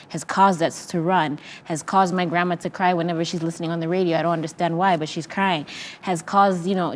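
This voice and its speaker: A young woman with a lowish voice reflects earnestly over a video call. She pauses on fillers as she works through her thoughts, and faint background noise sits under her voice. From Rwanda. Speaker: actress, the play's lead. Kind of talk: reflective talkback answers.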